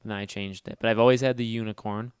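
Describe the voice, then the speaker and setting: A man hosting a casual gaming livestream, talking fast and loud with a nasal voice and rambling as he answers chat. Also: fairly low pitch, steady tone, smooth, no room sound, clean studio sound. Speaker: livestream host.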